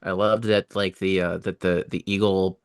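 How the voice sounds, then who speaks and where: A man's voice: low voice, level tone, moderate pace, clear, resonant tone, no room sound, clean studio sound. A middle-aged man co-hosting a podcast, chatting informally and emphatically as a fan. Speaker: podcast co-host. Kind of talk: casual fan chat.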